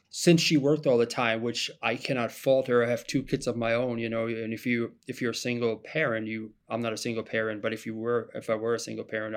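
Man telling his story. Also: medium pitch. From Germany. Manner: relaxed storyteller, restarts and self-corrects. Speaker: guest. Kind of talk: casual podcast interview.

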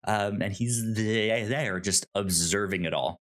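A man co-hosting a casual discussion of a TV show, halting and repeating words as he thinks. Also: lowish voice. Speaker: podcast co-host.

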